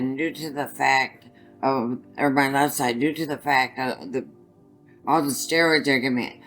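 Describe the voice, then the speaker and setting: Older lady with a scratchy raspy voice, stops and restarts a lot telling her story, faint music behind. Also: rumbling low. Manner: halting, restarts often while recounting. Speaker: guest. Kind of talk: personal story in an interview.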